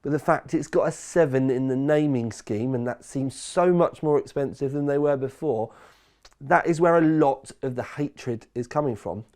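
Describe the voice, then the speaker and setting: Croaky man chatting through a review. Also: mid-range voice, moderate pace, darkish tone. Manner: rambling, conversational, self-correcting. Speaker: tech reviewer, channel host. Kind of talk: casual chatty product review.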